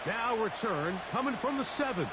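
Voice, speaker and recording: Male play-by-play announcer for a football video game, high pitch, steady broadcast read, heavy game noise. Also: moderate pace. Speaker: play-by-play commentator.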